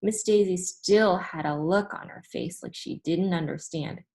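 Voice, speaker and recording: A woman reading a children's story aloud as an expressive narrator. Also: lowish voice, measured pace.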